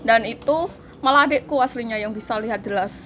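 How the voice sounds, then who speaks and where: A woman's voice: on the high side, a little fast, background noise. A woman, chatting casually and giggling as she tells a spooky story. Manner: giggly, laughs through her story. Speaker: co-host telling ghost stories. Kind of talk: casual chat.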